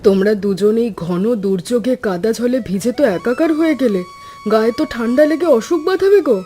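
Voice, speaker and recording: A woman's voice: on the high side, fairly quick, mellow, slight background noise, lightly acted. A woman playing a caring, capable village housewife and farmer's wife in a scripted cartoon.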